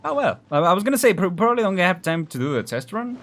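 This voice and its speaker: A man's voice: high-pitched, very animated, quick pace, a bit bright. A man doing casual live game commentary, with slangy, offhand reactions muttered half to himself. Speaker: gaming streamer. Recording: game music behind the voice.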